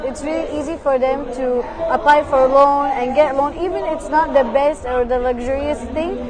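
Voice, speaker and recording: A woman from Saudi Arabia answering interview questions in a relaxed way, with many fillers like "like" and "you know". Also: high-pitched. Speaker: interview guest. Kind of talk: casual conversation. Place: busy expo hall. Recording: crowd chatter behind.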